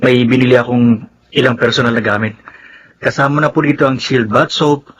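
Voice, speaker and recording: A man's voice: medium pitch, steady tone, moderate pace, fairly bright tone, slight background noise, lightly acted, poor audio. A man acting in a Filipino radio drama as a resentful young adult son, polite but sulky.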